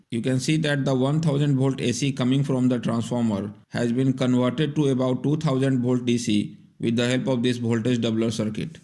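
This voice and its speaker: A man's voice: medium pitch, darkish tone. A man narrating a scripted technical tutorial in a methodical, step-by-step instructional manner. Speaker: repair tutorial presenter.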